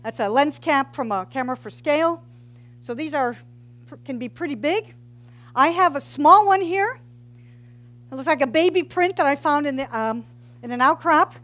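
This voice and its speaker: High-voiced woman giving a geology talk. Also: expressive, normal speed, pauses often, fairly bright tone. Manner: informal, joking, quizzes the audience. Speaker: geology professor. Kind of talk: public science talk. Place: large hall with brick walls. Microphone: headset mic.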